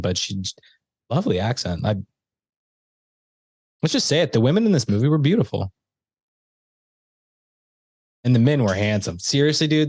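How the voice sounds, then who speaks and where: A man's voice: fairly low pitch, a little mellow, dry recording, studio quality. A man co-hosting a casual movie review podcast, talking in a rambling, chatty way with lots of restarts. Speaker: podcast co-host.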